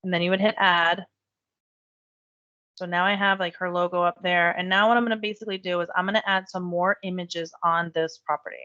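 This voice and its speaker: Lady walking thru a software demo, slightly low voice, a bit hoarse, dull lo-fi sound. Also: fairly even intonation, brisk, no room sound. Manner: chatty, step-by-step explaining. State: losing her voice. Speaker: real estate software trainer. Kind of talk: screen-share software tutorial.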